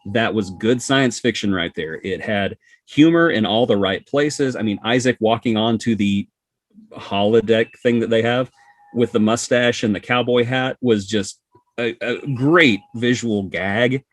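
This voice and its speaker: Lowish-voiced man, emphatic podcast guest. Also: no echo. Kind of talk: casual review chat.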